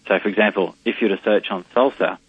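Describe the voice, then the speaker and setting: A deep-voiced Australian man answers questions down a muffled phone line, his voice husky and low. He talks in a hesitant way, full of "uh" and restarts. The line is dull, close and dry. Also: fairly even intonation, fast, no echo. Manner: hesitant, many fillers and restarts. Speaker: company CEO, interview guest. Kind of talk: phone interview on radio. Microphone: telephone line.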